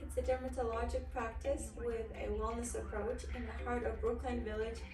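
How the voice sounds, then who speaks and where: A woman's voice: on the high side, flat delivery, fairly quick, a little mellow. Woman with a Ukrainian accent, far off. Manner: hesitant, with frequent ums. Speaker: dermatologist, co-founder. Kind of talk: casual interview chat. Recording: roomy sound from a distant mic with music.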